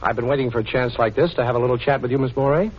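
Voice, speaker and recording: An actor playing a cool, wisecracking rogue in a 1940s radio drama, with sardonic banter. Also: male voice, medium pitch, rapid-fire, husky, lightly acted. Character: cool, wisecracking male rogue adventurer under arrest. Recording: dull vintage 1940s radio recording.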